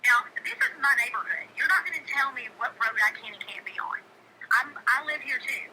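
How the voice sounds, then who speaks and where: A woman's voice: high-pitched, animated, brisk. A middle-aged woman talking casually on a phone call, insistent and emphatic, repeating phrases for stress. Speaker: local neighbor caller. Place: telephone call. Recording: noisy phone call recording.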